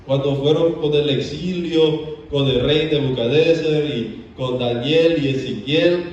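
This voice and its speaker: A man from Belize, a theology student teaching a church seminar, often pausing on "eh". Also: fairly high pitch, a little mellow. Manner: frequent "eh" hesitations. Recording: echoey church from a distant, muffled mic.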